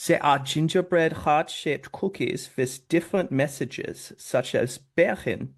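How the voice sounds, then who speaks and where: An actor playing a harmless, adorable baker in his eighties, gentle and a little wistful, at a script table read. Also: medium pitch, a little slow. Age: 80s.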